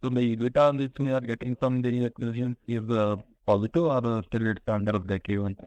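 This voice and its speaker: A man with an Indian accent calling in as an investment analyst, asking questions haltingly and searching for words. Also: medium pitch, steady tone, a little mellow, no room sound. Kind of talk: business questioning on a call. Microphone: conference call line.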